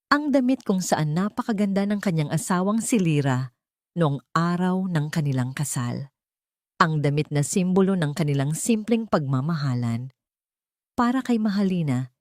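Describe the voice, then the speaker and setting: A woman's voice: sits low, lively intonation, normal speed, a little mellow, dry recording, clean studio sound. A woman narrating a scripted, dramatic audio story as the storyteller.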